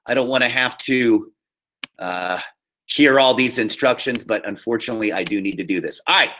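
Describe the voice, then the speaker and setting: A man speaking as a high school theater teacher, giving informal instructions to students in a live online class and often checking in with them. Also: bright, forceful tone. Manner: informal, addresses students directly with frequent check-ins. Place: remote video class call.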